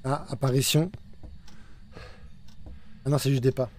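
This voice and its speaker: A man commentating his own live game stream with a warm, mellow voice. He talks urgently and repeats himself while directing his teammates. A faint hiss sits under the voice. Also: mid-range voice, level tone, a little slow. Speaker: streamer playing a ghost-hunting game.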